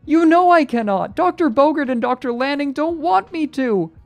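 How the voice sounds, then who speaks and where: A man voicing a tormented robot in an audiobook story, pleading and frantic in a shrill voice. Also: lively intonation, normal speed, gravelly, faint music behind, full character voice. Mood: frantic, anguished.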